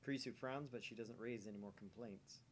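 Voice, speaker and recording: A man's voice: lowish voice, brisk, a little hiss or hum. A man narrating a visual novel aloud as a gaming let's play host.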